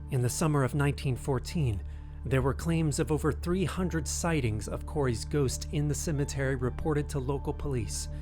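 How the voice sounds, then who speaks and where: Male narrator, mid-range voice, measured ominous storytelling, documentary-style script, clear music bed. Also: medium pitch.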